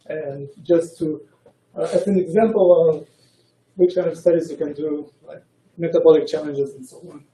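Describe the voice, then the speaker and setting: A man giving an academic science talk as a researcher, speaking in a hesitant, halting way. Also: on the high side, fairly even intonation, warm tone. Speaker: researcher presenting a colloquium. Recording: roomy echo, distant microphone.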